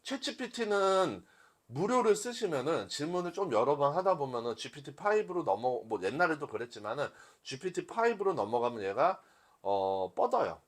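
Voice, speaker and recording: A man's voice: on the high side, big pitch swings, normal speed. A man instructing a workshop in an informal live lecture style, talking conversationally to his listeners and explaining things step by step. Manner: conversational, addresses listeners and asks rhetorical questions.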